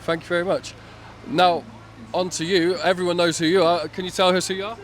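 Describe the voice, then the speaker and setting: A man with a fairly high voice interviewing guests at a convention. He asks short questions and thanks everyone politely. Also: little pitch movement, fairly quick, slight background noise. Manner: brief, polite, repetitive thanks. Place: busy convention hall.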